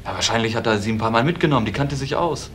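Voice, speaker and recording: An actor playing a suspicious, needy young nephew in a crime radio play, with an intense, fixated way of talking. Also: male voice, mid-range voice, expressive, rapid-fire, a bit bright, a little hiss or hum, close to an everyday voice. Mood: brooding, insistent.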